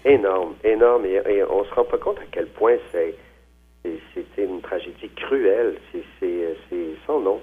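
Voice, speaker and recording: A man's voice: sits low, unhurried, breathy edge. A man, a novelist, speaks hesitantly in a phone interview, with many fillers and restarts. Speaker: novelist guest. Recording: tinny phone line.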